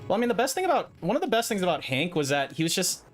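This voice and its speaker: A man's voice: sits high, wildly swooping pitch, very fast. A man streaming casually, rambling in a blunt, profane and hesitant way. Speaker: streamer reacting to another player's stream. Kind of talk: casual livestream chat, rambling. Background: music, another streamer's gameplay.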